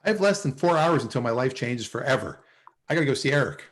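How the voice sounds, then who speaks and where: A man's voice: medium pitch, animated, fast talker, close to an everyday voice. A man playing a lovesick, wisecracking, anxiously hopeful sitcom character in a table read over a video call. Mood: urgent.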